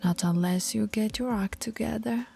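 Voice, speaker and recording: A woman voicing a dominant female alpha werewolf in an intimate roleplay, commanding yet affectionate, with a breathy, husky voice and slow, deliberate delivery. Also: a little high, dark tone, soft background music. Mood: teasing, smug. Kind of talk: intimate ASMR roleplay voice acting.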